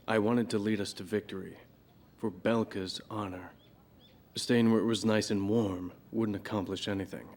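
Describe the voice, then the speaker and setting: A proud old-guard fighter ace turned professor played by a male actor reflects in a low voice. He speaks solemnly and deliberately, as if interviewed for a war documentary, over faint ambient noise. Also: a bit bright, natural voice. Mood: proud, reminiscing.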